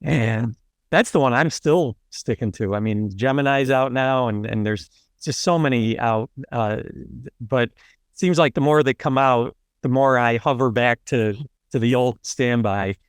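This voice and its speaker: A man's voice: medium pitch, unhurried, clean tone, no echo, clean studio sound. A man hosting a podcast, reading a polished intro and then chatting loosely with a guest, with plenty of fillers and restarts. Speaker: podcast host. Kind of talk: scripted intro then casual interview.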